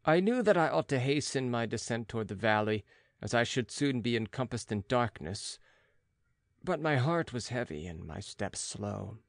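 A man narrating a classic gothic novel as an audiobook, in a measured, dramatic literary manner. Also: mid-range voice, sing-song, gravelly, dry recording, clean studio sound.

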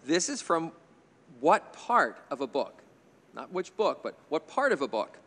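A man's voice: a little high, very animated, unhurried, fairly bright tone, long reverb tail. A man in his fifties moderating a quiz bowl from a studio desk mic, reading questions with chatty, jokey asides. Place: indoor TV studio stage. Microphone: desk microphone on a stand.

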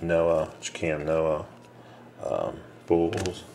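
A man breaking a box of trading cards on camera, reading out names and teams in a low mumble, casual and unhurried. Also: flat delivery, gravelly, darkish tone. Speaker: card breaker. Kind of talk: casual card-break commentary. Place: small room indoors. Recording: noisy room with card shuffling.

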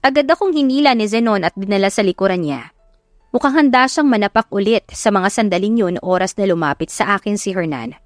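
A woman's voice: mid-range voice, lively intonation, fairly quick, dry recording, studio quality. A woman reading scripted first-person romance narration as an audiobook narrator. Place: close, dry recording. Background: faint music.

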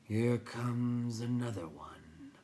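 A woman voicing a huge, blunt rock creature in a slow, crackly, gravelly whisper for a storybook. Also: extremely low pitch, mellow, pitched above the performer's own voice. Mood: gruff, curious. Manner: slow, drawn-out delivery.

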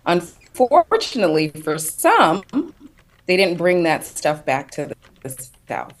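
A woman's voice: on the low side, huge pitch swings, slow. A woman speaking as a panelist on a video call, thoughtful and reflective, building her points in loose phrases. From United States. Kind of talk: panel discussion.

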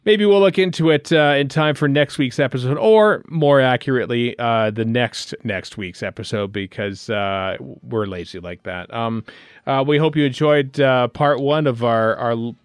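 A man's voice: mid-range voice, moderate pace, clean tone, dry recording, clean studio sound. A man with a Canadian accent co-hosting a podcast in casual, chatty commentary. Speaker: podcast co-host. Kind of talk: casual fan commentary chat.

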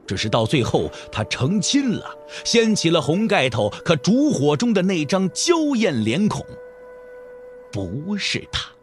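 A man's voice: a little high, huge pitch swings, measured pace. A man narrating a dramatic audio drama as a scripted storyteller. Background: background music.

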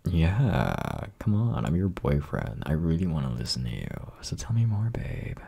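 A young man playing a clingy, dorky, doting boyfriend in an intimate ASMR roleplay, with a raspy voice and a soft, teasing manner. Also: a bass voice, big pitch swings, full character voice. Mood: attentive, affectionate. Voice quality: raspy, gravelly. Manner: soft, breathy, teasing, slow and drawn out. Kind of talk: ASMR roleplay, intimate one-sided conversation.

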